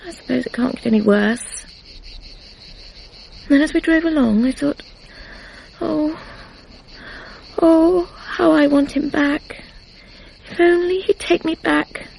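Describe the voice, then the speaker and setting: High, crackly, fragile British woman. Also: takes it slowly, creaky, long pauses, a little mellow, exaggerated voice. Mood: anguished, confessional. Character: emotional, confessional, fragile woman in love. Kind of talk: radio drama acting. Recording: faint noise, insects chirping, archive radio drama.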